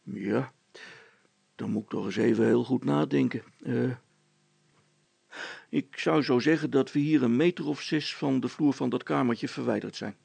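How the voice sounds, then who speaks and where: Man playing a sceptical, gravelly village fellow. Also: medium pitch, moderate pace, long pauses, a little mellow, dry recording. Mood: thoughtful. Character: down-to-earth, sceptical village man, drily practical.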